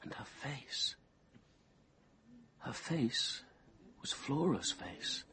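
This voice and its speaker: An actor playing a brooding, obsessive young man who narrates his confession in measured, intense tones, at times near a whisper. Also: medium pitch, background noise. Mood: entranced. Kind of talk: radio drama first-person narration.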